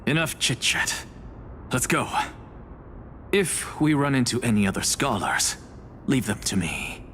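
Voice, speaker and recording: A snooty young sage in a game, slow and dismissive, swings his pitch around, music under it. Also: big pitch swings, a bit bright, a little hiss or hum. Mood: cool. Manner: scornful, dismissive, measured. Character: arrogant scholar sage, young man, sharp-tongued. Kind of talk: video game voice acting. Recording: game audio with music behind.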